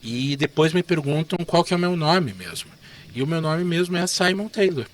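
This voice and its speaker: A man in his fifties with a Curitiba accent, a guest telling stories about his life in a relaxed, chatty way, tagging sentences with "né?". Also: on the high side, normal speed, background noise. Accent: Curitiba Portuguese. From Curitiba, Brazil. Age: 50s. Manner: chatty, relaxed, frequent "né?" tags. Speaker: guest artist and illustrator. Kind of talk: casual interview storytelling.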